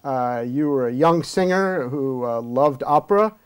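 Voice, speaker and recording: A man's voice: medium pitch, lively intonation, a little slow, clear, resonant tone. A middle-aged man hosting a studio interview show, inviting his guests to speak. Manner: friendly, inviting questions. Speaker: host.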